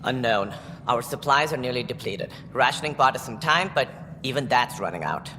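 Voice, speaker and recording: An actor playing a formal alien director of a space colony in a video game. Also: male voice, medium pitch, expressive, moderate pace, sharp tone, slight background noise. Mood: grave. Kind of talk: video game cutscene acting. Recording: reverberant hall with music behind.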